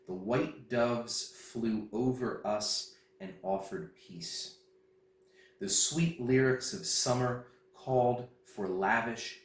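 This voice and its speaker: A man reciting poetry as a reader at a festival. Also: medium pitch. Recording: roomy echo, distant mic.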